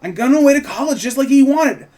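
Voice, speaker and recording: A man's voice: very high-pitched, animated, quick pace, mellow, voice pushed up. A man reading the part of an upset, skeptical teenage boy in a fantasy script, with emphatic, exasperated delivery.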